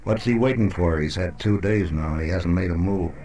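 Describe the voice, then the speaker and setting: An actor playing a stern, hard-bitten Old West lawman in a gruff, terse, commanding manner, in old radio western style. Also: sits low, normal speed, mellow, close to an everyday voice. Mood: challenging. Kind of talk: 1950s radio western acting. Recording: noisy old radio recording.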